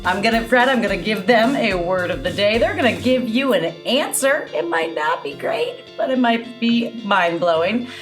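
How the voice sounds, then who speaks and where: A woman's voice: medium pitch, lively intonation, normal speed, hardly any pauses, soft background music. A middle-aged woman leading a word quiz on a comedy game, casual, playful and wry. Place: room at home, video call.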